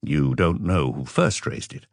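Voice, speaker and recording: A man's voice: deep voice, animated, a little slow, vocal fry, dry recording, studio quality, full character voice. A man voicing a patient French police inspector who asks terse, probing questions in an audiobook. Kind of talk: audiobook character dialogue.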